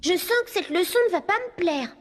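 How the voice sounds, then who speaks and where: A woman's voice: very high voice, lively intonation, brisk. An actress voicing a studious, earnest little schoolgirl in a French cartoon dub, keen and prim. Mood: puzzled.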